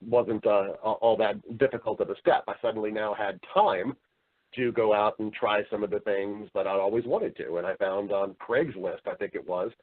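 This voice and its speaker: An American man telling his story casually as an interview guest, chatty and rambling, often repeating words. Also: fairly low pitch. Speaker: interview guest, voice actor and entertainer. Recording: muffled, narrow phone-like sound.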